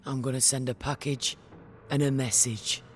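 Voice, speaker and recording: A man playing a tough sci-fi bounty hunter in a video game. His medium-pitched voice is terse and defiant in a hard-boiled way, with clear ambient noise around it. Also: level tone, moderate pace, lightly acted. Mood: determined, defiant.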